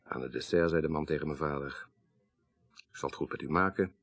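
An actor playing a grave, troubled son in a radio drama, speaking in a formal, measured, articulate way. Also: male voice, very deep voice. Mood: measured, serious. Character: grave, troubled son recounting his dead father's story.

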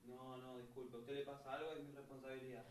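A man's voice: mid-range voice, flat delivery, fast talker, natural voice. A man playing an unbending, dismissive but formally polite bus driver in an amateur radio drama. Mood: firm, dismissive. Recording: noisy amateur recording with vehicle ambience. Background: bus and vehicle ambience.

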